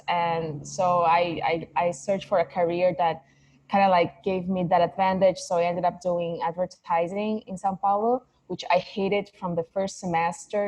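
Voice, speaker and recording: Thoughtful woman in her 30s from Mexico, second-language speaker, low warm voice, halting restarts, video call interview. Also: a little hiss or hum, rough recording. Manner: thoughtful, searching for words, many restarts. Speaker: filmmaker guest. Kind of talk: casual interview conversation.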